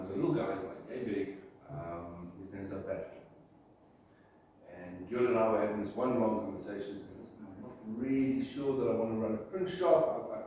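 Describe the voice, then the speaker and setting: A man's voice: medium pitch, warm tone. Casual rambling South African man, very echoey room, faint hiss. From Zimbabwe. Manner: chatty storytelling, many ums and restarts. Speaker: guest speaker, print shop owner. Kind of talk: casual talk to a business group. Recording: echoey room, from a distant mic, faint noise.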